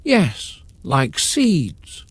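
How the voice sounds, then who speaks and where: A man voicing a serene, wise female Sphinx who speaks gently and cryptically in a bedtime story. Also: on the high side, wildly swooping pitch, extremely slow, husky, dry recording, exaggerated voice.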